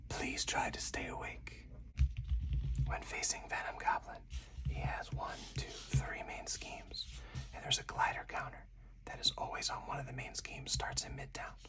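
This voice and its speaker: Someone speaking in a breathy, deliberate, hushed whisper, in the style of an ASMR game playthrough with commentary. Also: distant mic. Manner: slow, deliberate, hushed delivery. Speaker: solo card game player and host.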